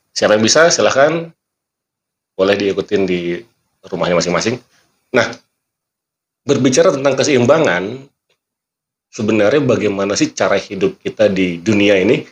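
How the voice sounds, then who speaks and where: A man hosting a motivational podcast, teaching earnestly and quoting scripture and sayings, often clearing his throat while he talks because he is not fully well. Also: fairly low pitch, expressive, normal speed, pauses often. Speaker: magician and motivational speaker. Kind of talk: motivational podcast talk with a magic trick demo.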